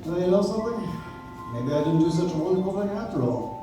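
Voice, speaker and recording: A man's voice: sits high, lively intonation, fast. A man playing an astonished, earnest man in a Christmas radio play, recorded from far off in an echoey room with music behind. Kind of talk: 1940s radio acting on stage. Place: live stage in a coffee shop.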